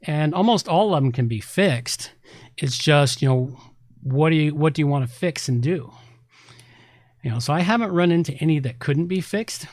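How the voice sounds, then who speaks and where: A man's voice: fairly high pitch, lively intonation, moderate pace, a little mellow, dry recording. A man hosting a tech podcast, talking casually and explaining things with emphatic repetition. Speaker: podcast host, website repair specialist.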